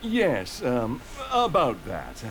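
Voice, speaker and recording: Awkward middle-aged game father, halting, very slow delivery, embarrassed manner, background noise. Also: mid-range voice, huge pitch swings, a bit bright, exaggerated voice. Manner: hesitant, stammering. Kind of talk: video game voice acting.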